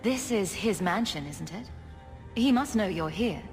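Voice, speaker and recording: A self-assured renegade mage in a fantasy game. Her mid-pitched voice is teasing and dryly playful. It rings with long reverb over soft music. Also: lively intonation, measured pace. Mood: curious. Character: witty, self-assured apostate mage adventurer woman. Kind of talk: dramatic video game acting.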